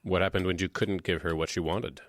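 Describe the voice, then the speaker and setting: Deep, quick interrogator. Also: male voice, fairly even intonation. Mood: calm, probing. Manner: brief, prompting questions. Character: calm, probing male interviewer or interrogator. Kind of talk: radio drama acting.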